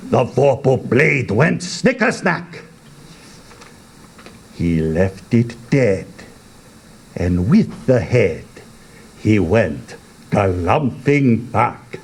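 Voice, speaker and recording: A man giving a theatrical reading with a deliberate, dramatic manner and long pauses. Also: fairly low pitch, big pitch swings, creaky, slightly rough. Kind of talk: theatrical poetry recitation.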